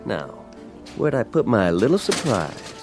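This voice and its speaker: Cocky flirty office joker, radio drama, really animated, music underneath. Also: male voice, medium pitch, measured pace. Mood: flirtatious. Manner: brash, jokey, showy. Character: cocky, teasing, flirtatious male office braggart.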